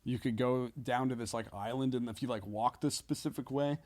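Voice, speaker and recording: A man chatting casually as a podcast guest, with slangy, halting, meandering explanations. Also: mid-range voice, darkish tone. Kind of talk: casual chat.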